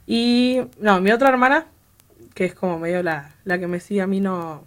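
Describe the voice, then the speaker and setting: A woman's voice: mid-range voice, normal speed. A young woman, chatting as a guest about her family and dance, laughing often and restarting her sentences. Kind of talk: casual chat.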